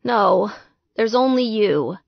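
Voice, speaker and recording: A woman's voice: medium pitch, lively intonation, takes it slowly, gravelly, no room sound, dull audio, exaggerated voice. A woman voicing a lovesick, clingy young man in an audiobook, earnest, pleading and gushing. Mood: needy, pleading.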